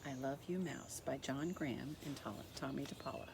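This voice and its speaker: A woman reading a picture book aloud as a story time host, speaking deliberately and expressively to young children. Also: rumbling low, gravelly, background noise. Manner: deliberate, expressive, addressing young listeners directly.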